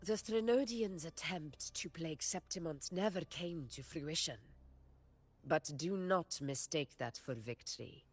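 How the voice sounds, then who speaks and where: A woman playing a composed, solemn warrior leader with measured authority in a fantasy game. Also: deep voice, husky. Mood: solemn, resolute. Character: composed, commanding female warrior leader briefing a hunt. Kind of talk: scripted fantasy game dialogue.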